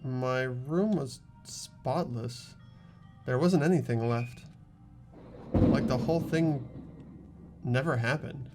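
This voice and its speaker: A man plays an earnest teenage boy from a video game. His medium-pitched voice is resolute and emphatic with some grief in it, and music runs behind. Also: slow pace, pauses often, lightly acted. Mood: grieving, subdued. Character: earnest, grieving, resolute high school boy. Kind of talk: amateur game voice acting.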